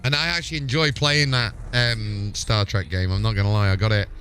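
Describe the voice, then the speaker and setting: Low-voiced man chatting on a gaming stream. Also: fairly even intonation, fairly quick, fairly bright tone, slight background noise. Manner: informal, mild swearing, matey. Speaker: live streamer. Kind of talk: casual gaming livestream chat.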